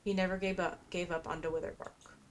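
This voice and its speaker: A woman's voice: on the low side, level tone, brisk, slight background noise. A woman doing casual gameplay commentary, chatty and musing as she plays and reads quest text aloud. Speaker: gameplay streamer. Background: faint game music.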